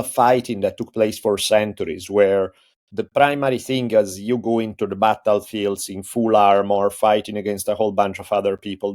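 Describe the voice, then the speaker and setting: Casting: man, low voice, guest on a talk podcast, patient explainer. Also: darkish tone. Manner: thoughtful, explains at length with restarts. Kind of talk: casual interview talk.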